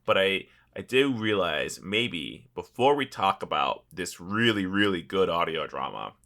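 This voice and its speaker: Guy podcast host, lowish voice that moves around a lot, loose jokey gaming chat. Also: big pitch swings, a little slow, fairly bright tone. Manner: informal, jokey. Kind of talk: casual chat about a video game.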